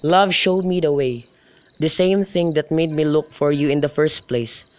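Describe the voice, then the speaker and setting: A man's voice: on the high side, normal speed, lightly acted. A man playing an ardent, reckless young nobleman in love, in an amateur student radio drama of modernized Shakespeare. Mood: ardent, devoted. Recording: noisy home recording, crickets behind.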